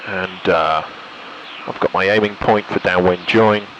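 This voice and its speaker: A man's voice: low voice, a little slow, a bit bright, dull audio, slight background noise. A British man giving a casual commentary from a light aircraft cockpit, thinking aloud and making radio calls into a headset. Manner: thinks aloud while concentrating. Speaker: pilot and vlogger.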